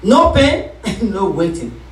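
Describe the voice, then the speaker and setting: A woman pastor preaching a sermon, calling for responses and rising into shouts. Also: mid-range voice, sing-song, takes it slowly, distant mic. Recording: echoey room, voice from off-mic.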